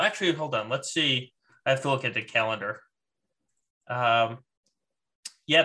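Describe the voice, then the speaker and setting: A man's voice: mid-range voice, sharp tone. A man co-hosting a gaming podcast, chatting in an informal, conversational way. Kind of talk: casual chat about a mobile game.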